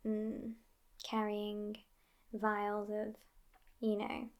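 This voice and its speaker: A woman playing a sassy, aloof, youthful but centuries-old vampire girlfriend in a soft, near-whispered ASMR roleplay. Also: medium pitch, completely flat delivery, drawn-out words. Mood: languid, sassy. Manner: languid, aloof, hushed, often near whispering.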